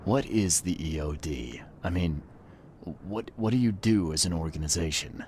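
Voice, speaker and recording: A man's voice: low-pitched, animated, normal speed, a little mellow, slight background noise. A man playing a terse, world-weary private detective in a video game, dry and guarded in manner. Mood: skeptical. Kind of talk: video game cutscene acting.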